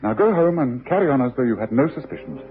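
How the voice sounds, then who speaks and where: An actor playing a calm, authoritative male detective in a radio drama, reassuring and in command. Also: mid-range voice, quick pace, close to an everyday voice. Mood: assured. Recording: tinny, noisy old radio broadcast.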